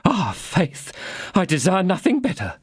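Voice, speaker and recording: Male narrator doing a panicky tradesman, shrill, pleading, swinging pitch. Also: huge pitch swings, measured pace, breathy edge, dry recording, clean studio sound, full character voice. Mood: insistent. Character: frightened fawning middle-aged male mercer.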